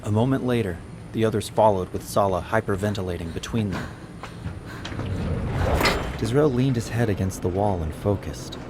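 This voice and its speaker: Male audiobook narrator, low register, dramatic scripted delivery over clearly audible ambient noise. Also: moderate pace, long pauses.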